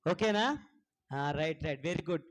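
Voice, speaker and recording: A man's voice: fairly high pitch, animated, measured pace. A man hosting a children's puppet show, encouraging and instructive, often putting questions to the kids. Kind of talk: animated children's ministry presenting.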